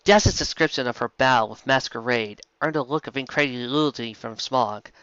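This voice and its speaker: A man's voice: mid-range voice, normal speed, a bit bright, clear, resonant tone, no echo, highs cut off. A man reading a story aloud as an amateur audiobook narrator, in scripted narrative style.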